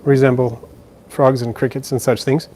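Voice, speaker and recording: A man's voice: mid-range voice, little pitch movement, moderate pace, dark tone, slight background noise. A man casually answering viewer questions on a live stream, chatty and easygoing, with plenty of fillers and restarts, filming indoors by a terrarium. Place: indoors beside a frog terrarium.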